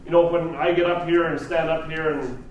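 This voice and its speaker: A man with a somewhat high voice presents an informal training seminar. He talks conversationally, tossing questions out to the room. The sound is echoey and distant, with faint noise behind him. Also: fairly even intonation, fairly quick, dull audio. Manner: conversational, rhetorical questions to the audience. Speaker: seminar trainer teaching negotiation and NLP. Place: live seminar room with an audience.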